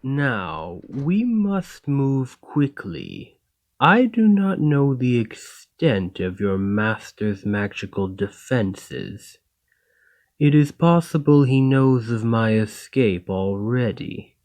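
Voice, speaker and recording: Man playing a very slow-spoken, coldly logical golem. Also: mid-range voice, big pitch swings, a little mellow. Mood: urgent, controlled. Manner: formal, measured, detached phrasing. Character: escaped male golem, coldly logical, polite, self-serving captor. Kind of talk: ASMR roleplay acting.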